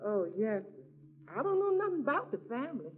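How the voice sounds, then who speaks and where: An actress playing a chatty, unflappable housekeeper with a folksy, rambling manner in 1940s radio comedy. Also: female voice, high voice, big pitch swings, a little fast, very noisy. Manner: rambling, folksy, matter-of-fact. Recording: tinny, narrow 1940s radio recording.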